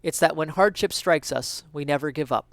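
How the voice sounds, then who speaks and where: Clear-voiced man giving an earnest dramatic reading. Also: fairly high pitch, normal speed, fairly bright tone, dry recording, clean studio sound. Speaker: reader of an essay.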